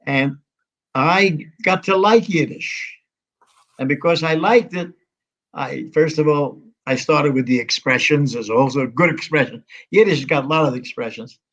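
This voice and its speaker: A man in his nineties talks in a rambling, halting way, breaking off and restarting his sentences as he reminisces. His voice sits a little high for a man, and the audio is muffled and lo-fi. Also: a bit bright. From United States. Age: 90s. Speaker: interview guest. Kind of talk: conversational reminiscing.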